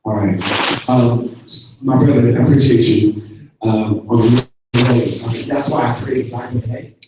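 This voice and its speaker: A man's voice: medium pitch, fairly even intonation, measured pace, background noise. Earnest man on a panel, echoey room. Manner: earnest, repetitive, slangy. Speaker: panelist, business founder. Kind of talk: casual panel talk. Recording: roomy, distant sound.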